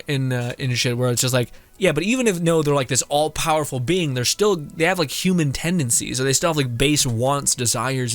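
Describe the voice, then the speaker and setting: A man's voice: mid-range voice, fairly bright tone. A young man giving casual, jokey gameplay commentary as a streamer. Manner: giggly, informal, jokey. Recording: game music behind the voice.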